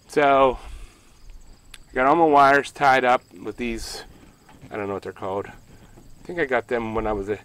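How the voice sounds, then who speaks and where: Middle-aged male homesteader, casual outdoor phone vlog, plainspoken and self-correcting, average pitch. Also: a bit bright. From Pennsylvania. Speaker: homestead solar vlogger. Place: outdoors by a ground-mounted solar array in a rural field.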